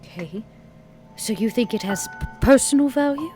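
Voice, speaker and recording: A woman's voice: fairly high pitch, expressive, moderate pace, close to an everyday voice. A woman playing a genteel, old-fashioned female vampire in a tabletop game, with prim, quaint phrasing over music. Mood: puzzled. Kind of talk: in-character tabletop roleplay.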